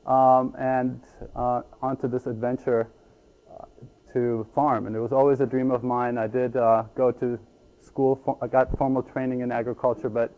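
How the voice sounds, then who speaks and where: A man from Ecuador giving a conference talk as a farmer, halting with many ums and restarts. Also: medium pitch, narrow, tinny audio.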